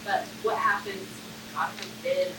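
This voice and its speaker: A woman's voice: a little slow, bright, forceful tone, echoey room, recorded from a distance, a little hiss or hum, poor audio. A woman in the audience asking the panel a two-part question at a conference session. She rambles, with lots of fillers.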